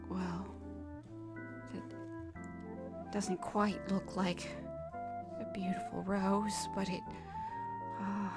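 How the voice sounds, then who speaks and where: A woman playing an awkward, wistful drawing teacher on a macabre comedy podcast, speaking in a halting, deliberate way. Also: medium pitch, lightly acted. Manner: halting, deliberate, hesitant instructor delivery. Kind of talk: scripted horror-comedy podcast monologue, mock drawing lesson. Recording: music behind the voice.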